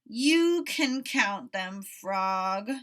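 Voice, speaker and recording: A woman reading a children's storybook, voicing a grumpy, reluctant male toad who grumbles in a slow, drawn-out, sleepy way. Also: mid-range voice, lively intonation, a bit bright. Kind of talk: children's storybook read-aloud.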